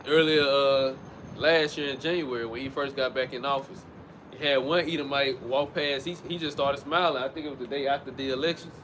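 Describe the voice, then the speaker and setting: A man's voice: medium pitch, fairly even intonation, a little fast, fairly bright tone. A man teaching outdoors by a street in a loose, conversational way, often restarting his phrases. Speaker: street preacher. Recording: outdoor traffic noise. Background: passing vehicles.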